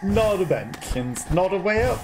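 A man's voice: high voice, very animated, normal speed, background noise. A man giving casual gameplay commentary as a let's play streamer, recorded indoors at his desk. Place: indoors at a gaming desk, webcam in view. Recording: game music behind the voice.

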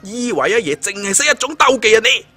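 Man acting a cocky, swaggering young fighter, high-pitched. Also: fast, bright, forceful tone, soft background music, full character voice. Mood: angry taunting. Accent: Hong Kong Cantonese. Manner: taunting, swaggering. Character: cocky, foul-mouthed young male martial fighter.

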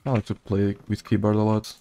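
A gamer with a Portuguese accent chats casually over his own play. His voice is a man's, on the low side, with a soft, mellow tone. He keeps it flat and low-key, as if half talking to himself. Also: fairly quick, gravelly. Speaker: gaming video creator. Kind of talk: casual gameplay commentary.

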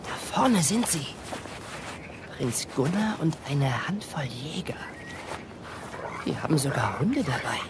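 A woman playing an excitable, anxious young boy in a fairy-tale audio drama. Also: sits low, takes it slowly, long pauses, background noise, heavily acted. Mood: hushed, watchful. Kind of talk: German audio drama acting.

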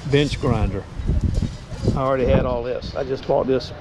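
A man's voice: mid-range voice, normal speed. A man giving rambling, self-correcting casual commentary while walking a farmers market with a body-worn camera. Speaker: knife sharpener vlogging at a market. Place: outdoor farmers market parking lot. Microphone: body-worn action camera. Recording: loud outdoor street noise.

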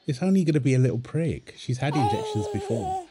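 A man playing a playful, doting young husband and father in a soft, tender ASMR roleplay, teasing as he talks. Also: fairly high pitch, wildly swooping pitch, brisk, heavy background noise. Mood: reassuring, affectionate. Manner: teasing, tender, chuckles often while talking. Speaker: audio roleplay performer. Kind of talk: ASMR boyfriend roleplay, one-sided dialogue.

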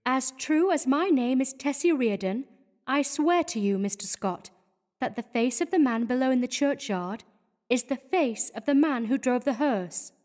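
A man's voice: very high voice, expressive, normal speed, a little mellow, voice pushed up. A man voicing a young nervous working-class woman who speaks earnestly and insistently.

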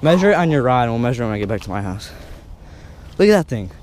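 A young man at the water's edge, talking fast and loose with his fishing buddies. His pitch jumps around a lot when he gets worked up. The sound comes from a chest-mounted camera, with a light wash of outdoor noise behind him. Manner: excited, swearing, laughing. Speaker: angler filming his fishing trip. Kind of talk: casual outdoor banter. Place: riverbank outdoors. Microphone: body-worn action camera.

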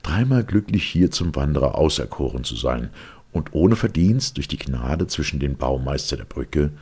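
A man narrating a literary story with solemn, theatrical weight and a gravelly, husky voice. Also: a bass voice, animated, normal speed, a little mellow. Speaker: audiobook narrator.

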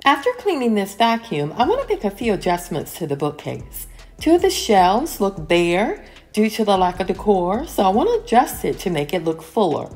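A middle-aged woman giving a calm, step-by-step voice-over of her home cleaning routine. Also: mid-range voice, moderate pace. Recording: voice-over with soft music behind.

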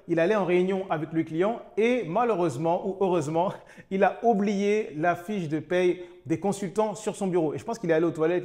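A man with a fairly high voice talks straight to the camera about making money, in the manner of an online coach. He addresses the listener directly and often stops to ask them questions. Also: a little mellow. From France, raised in Côte d'Ivoire. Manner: addresses viewer informally, with repeated questions and restarts. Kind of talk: direct-to-camera motivational talk.

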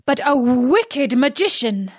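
A woman's voice: high voice, sing-song, slow pace, no echo, studio quality, voice pushed up, full character voice. A woman voicing a brave young man who speaks in a confident, reassuring way.

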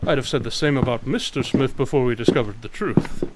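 An actor playing a witty, aloof detective in a radio drama, speaking formally, precisely and with dry teasing. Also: male voice, medium pitch, brisk, background noise, lightly acted. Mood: brisk, matter-of-fact.

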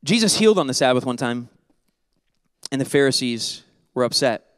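A man in his thirties preaching a sermon on a church stage into a handheld mic, animated and joking, then dropping to soft earnest tones. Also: mid-range voice, normal speed, no echo, clean studio sound. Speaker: pastor.

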